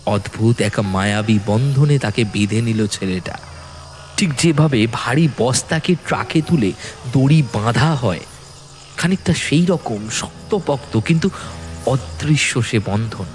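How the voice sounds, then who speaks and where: A man's voice: medium pitch, lively intonation, unhurried. A man narrating a scripted horror story in a dramatic storytelling style. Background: music.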